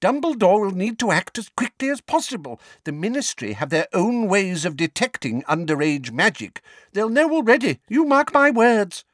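A man acts out a fretful, fierce old lady from a storybook. The voice is very high and shrill, swooping wildly as she fusses and scolds. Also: sing-song, normal speed, hardly any pauses, no room sound, clean studio sound. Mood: agitated, fretful. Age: older. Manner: shrill, exclamatory, fussing. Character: flustered, scolding, indignant elderly woman, fretful and fierce.

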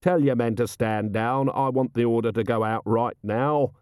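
A man voicing a gruff, sardonic watch commander with a blunt, dry manner in a storybook reading. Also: mid-range voice, moderate pace, a little mellow, no echo, lightly acted. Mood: menacing. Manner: blunt, dry, snarling when pressed.

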